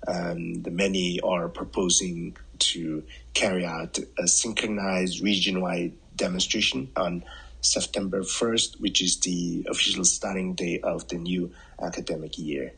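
Man, very low pitch, husky, earnest, halting with fillers, interview guest. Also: little pitch movement, sharp tone, rough recording. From Southern Mongolia, China. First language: Mongolian. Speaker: human rights campaigner.

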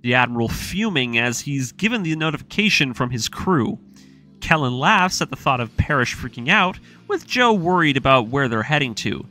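A man narrating a scripted, dramatic recap of a story in an expressive storytelling manner. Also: mid-range voice. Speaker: narrator of an anime story breakdown series. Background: background music.

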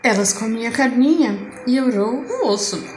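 High, lively Brazilian woman food vlogger. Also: normal speed, background noise. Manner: playful, coins cute diminutive words. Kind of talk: casual food vlog narration. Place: home kitchen. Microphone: phone camera.

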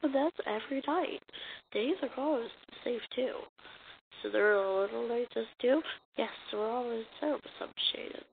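A woman acting out comic dialogue as an amateur dub, halting and stumbling over the lines. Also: fairly high pitch, vocal fry. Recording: muffled lo-fi mic with hiss.

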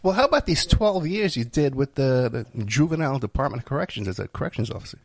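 Male host, gravelly husky voice, bouncy intonation, quick questions. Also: mid-range voice, expressive, no echo, studio quality. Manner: brief interjections and questions. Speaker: interview host. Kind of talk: casual interview questions.

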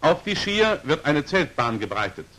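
A man's voice: fairly high pitch, big pitch swings, moderate pace, a bit bright, low-quality recording. Formal 1940s training film narrator, man. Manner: formal, precisely articulated, instructional.

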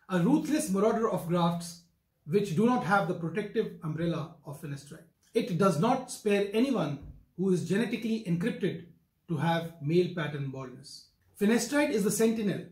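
An older man with an Indian accent, giving a formal, emphatic explanatory talk as a doctor in a measured manner. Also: sits high, a little mellow. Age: older, around 60s. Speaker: doctor, hair transplant surgeon. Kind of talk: explanatory medical talk to camera. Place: wood-furnished office, indoors. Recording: roomy, echoing indoor sound.

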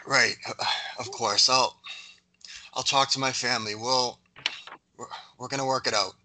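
A man's voice: medium pitch, steady tone, normal speed, sharp tone, a little hiss or hum, poor audio. A man playing a proud, restrained, family-minded young boyfriend in a screenplay read. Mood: earnest, uneasy. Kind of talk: acted dialogue in a screenplay table read. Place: indoors on a group video call.

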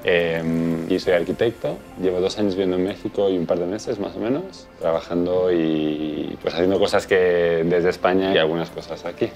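A man's voice: low voice, moderate pace, gravelly, hardly any pauses, darkish tone, faint music behind. A young man from Barcelona, an architect interviewed in a documentary, talking casually and sometimes trailing off. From Barcelona, Spain. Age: young adult.

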